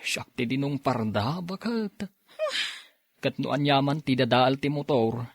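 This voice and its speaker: A man's voice: medium pitch, a little slow, no echo. A man acting an easygoing, apologetic husband with an Ilocano accent, wheedling in an amateur audio drama. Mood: hurt, complaining. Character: easygoing, apologetic husband who drinks, pleading and teasing.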